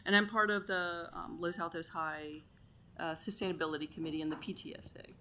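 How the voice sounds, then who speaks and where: A woman's voice: lowish voice, steady tone, brisk, bright, forceful tone, a little hiss or hum. Chatty middle-aged woman at a council mic. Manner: chatty, enthusiastic, many "um"s. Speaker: public commenter. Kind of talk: public comment at council meeting. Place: council chamber.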